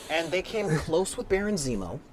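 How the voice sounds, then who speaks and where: A man talking casually on a fan panel, opinionated and lively, acting out quotes as he argues his points. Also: fairly high pitch, normal speed. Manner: opinionated, animated, acts out quotes. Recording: convention panel with crowd noise.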